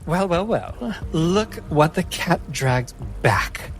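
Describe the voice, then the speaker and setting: A man's voice: a little high, animated, slow pace, pitched above the performer's own voice. A man voicing a menacing lionkin woman who leads a gang, theatrical, mocking and falsely polite, in a tabletop game. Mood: mocking, cold.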